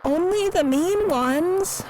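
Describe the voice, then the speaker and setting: An actress playing a timid, lonely, naive museum worker in an audio drama, speaking hesitantly and earnestly in a recorded interview. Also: female voice, very high voice, slow pace. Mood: meek, defensive. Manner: hesitant, soft-spoken, earnest. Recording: clearly audible background noise.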